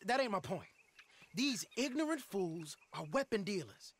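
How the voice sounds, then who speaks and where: A man playing an eager, sharp young outlaw in a Western game, speaking in an earnest, folksy way. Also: sits high, big pitch swings, normal speed, heavily acted. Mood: eager, pitching. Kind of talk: video game cinematic acting. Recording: clearly noisy outdoor game ambience.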